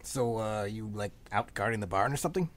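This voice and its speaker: Shady little brother played by a woman: very low husky voice, lively swings, cocky and folksy radio drama delivery. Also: fairly quick, slight background noise. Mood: prodding. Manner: glib, folksy, defensive. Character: cocky, defensive kid brother, shady antiques dealer. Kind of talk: live radio play acting.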